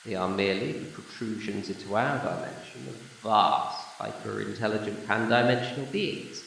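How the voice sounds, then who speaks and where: Very echoey guy doing an ancient alien planet designer, creaky voice, formal and courteous, amateur radio play. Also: on the low side, fairly bright tone. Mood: grave, explaining. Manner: measured, formal, old-fashioned phrasing. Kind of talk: amateur audio drama acting. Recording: echoey room, distant mic, faint noise.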